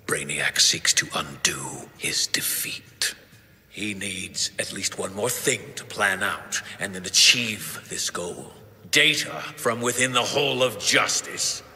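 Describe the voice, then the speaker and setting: A grave, forceful commanding mastermind. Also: male voice, fairly low pitch, heavily acted. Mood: grave, measured. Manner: deliberate, solemn, pronouncing. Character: grave, commanding male mastermind urging decisive action. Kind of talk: scripted video game cutscene acting. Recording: long hall reverb, faint noise.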